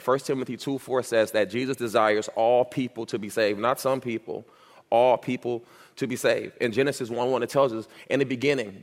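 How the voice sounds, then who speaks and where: A man in his thirties from Miami, a youth pastor being ordained, telling his testimony in an earnest, repetitive, emphatic way, with a slight stutter. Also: mid-range voice. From Miami, United States. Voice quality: speech impediment, stutters when nervous. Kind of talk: personal testimony and doctrinal answers in church. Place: church sanctuary. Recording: reverberant church hall.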